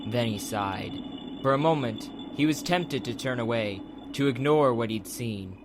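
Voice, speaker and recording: A man's voice: mid-range voice, unhurried, heavy background noise. A man reading scripted audiobook narration as the narrator of a dramatized story. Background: background music.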